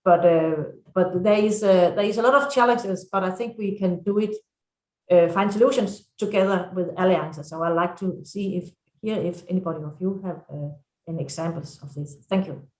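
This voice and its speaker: Female panel speaker, Danish accent and first language, lowish pitch, halting unscripted delivery, strong room echo. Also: a little mellow. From Denmark. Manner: halting, searching for words. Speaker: farmers' council vice president, panel speaker. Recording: echoey room.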